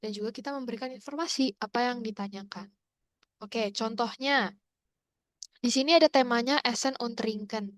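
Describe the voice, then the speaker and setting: A woman teaching German in a relaxed online class, her first language Indonesian, explaining exam tasks on a video call. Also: on the high side, dry recording. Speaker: German tutor.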